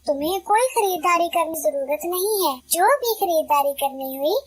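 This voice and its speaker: Woman doing a scheming cartoon bird, very high, coaxing, persuasive, dry close sound. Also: animated, normal speed, no echo. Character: scheming sweet-talking female bird, false friend.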